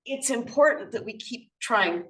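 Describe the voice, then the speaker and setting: A woman's voice: on the high side, animated, moderate pace, far from the mic, poor audio. A woman from Canada in a group discussion, speaking earnestly and checking in with "you know what I mean?".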